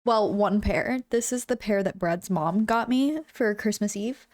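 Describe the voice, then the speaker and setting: A woman's voice: medium pitch, measured pace, a little mellow. Bubbly young woman chatting to her stream, kinda stuffy nose, lots of likes and ums, desk mic at home. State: a bit congested. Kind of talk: casual chat with live chat.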